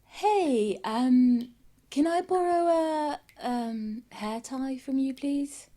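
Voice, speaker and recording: A woman's voice: sits high, very slow, mellow. An actress playing a polite, hesitant young woman in an audio drama. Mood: sheepish.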